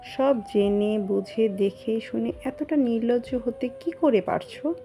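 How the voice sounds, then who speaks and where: Casting: stern middle-aged matriarch played by a woman, scolding manner, fairly high creaky voice, radio-play melodrama. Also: takes it slowly, mellow, soft background music. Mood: outraged. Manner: scolding, commanding. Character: stern, indignant middle-aged mother-in-law. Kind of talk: melodramatic audio story acting.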